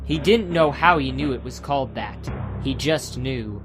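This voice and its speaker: A man's voice: mid-range voice, lively intonation, normal speed. A man giving scripted, dramatic narration for an audiobook adventure. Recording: music under the narration.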